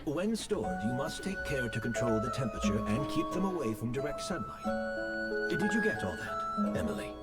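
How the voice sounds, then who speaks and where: An actress playing a composed, exacting woman, a master perfumer instructing a pupil in a video game, measured in manner. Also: extremely low pitch, sing-song. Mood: instructive. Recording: loud music under the voice.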